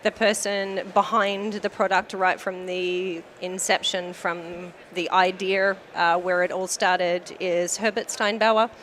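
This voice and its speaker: A woman's voice: lowish voice, level tone, takes it slowly, few pauses, fairly bright tone, slight background noise. A woman from Australia with an Australian accent, chatting as a guest on a podcast interview, talkative with frequent fillers. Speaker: guest, company manager.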